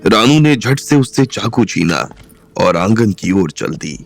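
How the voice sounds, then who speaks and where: A man narrating an audio drama with steady storytelling. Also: on the low side, a little slow, vocal fry. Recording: soft music bed underneath.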